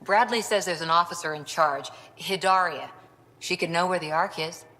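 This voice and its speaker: A tough young lieutenant in a sci-fi game cutscene. Her voice is on the low side and earnest, carried in a long reverb. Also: normal speed, sharp tone. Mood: eager. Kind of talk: video game cutscene dialogue.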